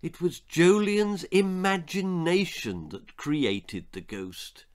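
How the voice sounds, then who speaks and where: Older man, theatrical storyteller, very lively pitch. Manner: theatrical, suspenseful, drawn-out delivery. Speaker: author reading own story. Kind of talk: dramatic children's ghost story reading. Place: small book-lined room indoors.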